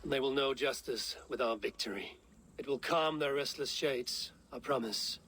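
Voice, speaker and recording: An actor playing a resolute, loyal Viking warrior leader in a video game, blunt and earnest in manner. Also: male voice, mid-range voice, normal speed, fairly bright tone, husky. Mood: resolute, commanding. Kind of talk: dramatic video game acting.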